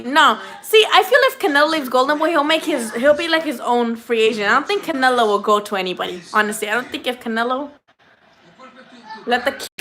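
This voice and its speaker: A woman's voice: high voice, sharp tone. A young woman from Mexico, chatting casually and swearing freely while hosting a boxing livestream. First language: Spanish.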